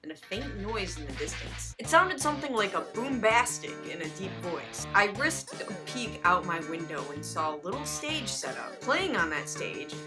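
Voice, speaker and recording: A woman's voice: mid-range voice, big pitch swings, normal speed, few pauses, over music. A woman reading fan fiction aloud for a comedy show, mixing dramatic storytelling with casual asides.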